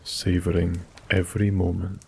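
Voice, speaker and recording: A Scottish man narrating a bedtime story in a soft-spoken, soothing ASMR style, with long pauses. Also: deep voice, completely flat delivery, creaky, breathy edge. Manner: slow, soothing, hushed delivery with long pauses. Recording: faint background noise. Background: soft nature ambience.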